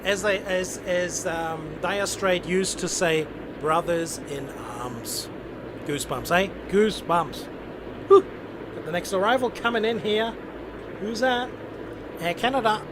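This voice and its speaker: A man's voice: high voice, unhurried, noisy background. A man hosting a live game stream with casual, enthusiastic commentary, often repeating phrases for emphasis.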